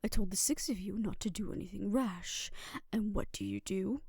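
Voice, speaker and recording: A woman's voice: medium pitch, sing-song, moderate pace, darkish tone, breathy edge, no echo, studio quality. A woman playing an exasperated, protective guardian in an audio drama, scolding her reckless charges in a stern, commanding, emphatic way. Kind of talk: scripted audio roleplay drama acting.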